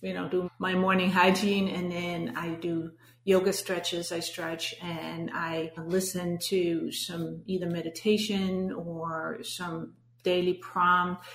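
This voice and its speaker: A woman with a Danish accent speaks in a fairly low voice, earnest and reflective as she answers interview questions. The recording carries a noticeable roomy echo around her. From Denmark. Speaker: business coach, guest. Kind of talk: casual interview conversation.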